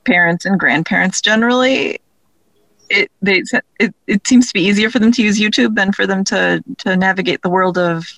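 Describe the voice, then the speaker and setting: Woman chatting haltingly, close dry sound. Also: mid-range voice, creaky, a bit bright, no echo. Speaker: guest, audio drama creator. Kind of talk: casual interview conversation.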